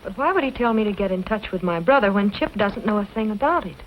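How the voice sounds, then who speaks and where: Actress as earnest western lady newcomer, mid-pitched, old film soundtrack with faint hiss. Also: expressive, fast, dull audio, close to an everyday voice. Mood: concerned. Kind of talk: 1940s western film acting.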